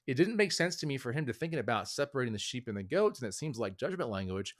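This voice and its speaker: A man's voice: mid-range voice, very animated, fast. Thoughtful man talking it through. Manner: thoughtful, hedging, many fillers. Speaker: author and guest. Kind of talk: casual interview talk.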